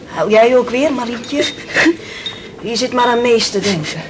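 A woman's voice: fairly high pitch, unhurried, lightly acted. An actress playing a bossy, homely village woman in a radio play. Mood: brisk, teasing. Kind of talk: Dutch radio play acting. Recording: very noisy old radio play recording.

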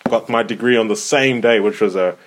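A young man in his twenties from Zimbabwe co-hosts a relaxed podcast. His voice sits fairly low, and he hesitates often, filling gaps with "uh" and "um" and restarting his sentences. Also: fairly quick. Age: 20s. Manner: hesitant, many fillers and restarts. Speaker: podcast co-host. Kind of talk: casual podcast chat.

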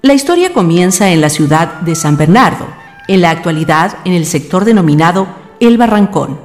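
Low-pitched woman narrating. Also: very animated, normal speed, faint music behind. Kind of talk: scripted radio drama narration.

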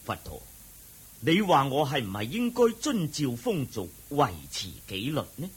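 A man's voice: mid-range voice, huge pitch swings, measured pace, husky, full character voice. A man playing a stern clan chief in a Cantonese radio drama, formal and pompous, with a Hong Kong accent. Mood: insistent.

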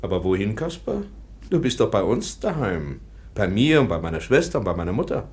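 A kindly teacher in a radio play. His voice sits fairly low but moves with plenty of melody as he patiently explains things in simple words. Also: very animated, fairly quick. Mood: gentle, patient. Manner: gentle, explaining simply as to a child. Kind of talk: German radio drama acting.

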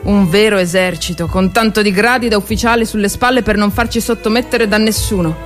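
A woman's voice: on the low side, close to an everyday voice. Woman doing a proud wry society lady nurse from ww1, stage monologue, dramatic emphasis, faint music behind. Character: ironic, cultured society lady, proud First World War volunteer nurse. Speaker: solo stage actress. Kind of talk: theatrical monologue.

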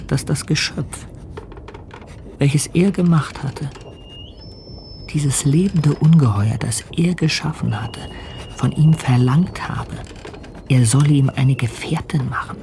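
An actor playing a calm, authoritative ship's captain in a radio play, courteous and reflective. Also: male voice, a little high, takes it slowly, long pauses, a little hiss or hum. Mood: hushed narration. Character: composed, authoritative male ship's captain on polar voyage.

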